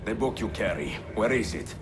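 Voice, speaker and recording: An actor playing a grave, middle-aged master assassin in a video game, solemn and measured with a deep gravelly voice. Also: male voice, animated, background noise, lightly acted. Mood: stern, demanding. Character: grave, weathered male master assassin, stern and determined. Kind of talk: video game dramatic acting.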